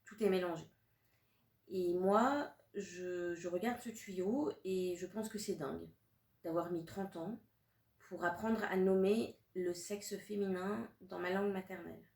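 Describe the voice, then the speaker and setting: A woman in her thirties from Russia, a native Russian speaker, reading her own poetic prose in a measured, deliberate way with long pauses. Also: on the low side, fairly even intonation, creaky, a little mellow. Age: 30s. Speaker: author. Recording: roomy sound from a distant mic.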